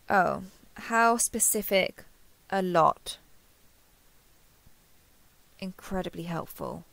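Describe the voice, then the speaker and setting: Woman acting as a flustered, kindhearted young woman, slightly gravelly. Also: mid-range voice, fairly bright tone, no echo. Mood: hesitant, dry. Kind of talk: intimate roleplay audio drama.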